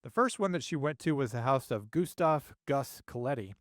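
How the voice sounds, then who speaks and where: A man presents a case analysis to an online audience in a mid-pitched voice. The sound is dry and close, and he opens his phrases emphatically before easing down at the ends. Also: animated, normal speed, no echo. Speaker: host, active 911 dispatcher.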